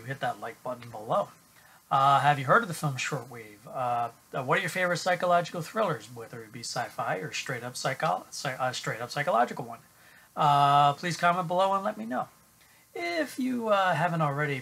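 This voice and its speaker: A middle-aged man giving an unscripted film review to camera at home, halting with many fillers and restarts. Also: on the high side, creaky, pushed and bright, breathy edge. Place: small room at home, indoors.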